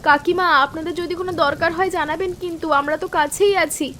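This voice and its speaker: High-voiced, timid young sister-in-law. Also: female voice, steady tone, fast, fairly bright tone, a little hiss or hum. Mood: concerned. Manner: anxious, pleading, deferential. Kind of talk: animated story dialogue.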